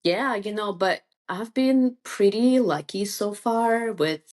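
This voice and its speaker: A woman's voice: mid-range voice, slow, a little mellow. A woman whose first language is Russian, chatting casually and informally as a real estate agent being interviewed.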